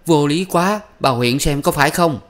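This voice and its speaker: A man's voice: a little high, moderate pace, fairly bright tone, voice pushed up, natural voice. A man voicing an angry, proud young wife who scolds sharply. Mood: angry, indignant.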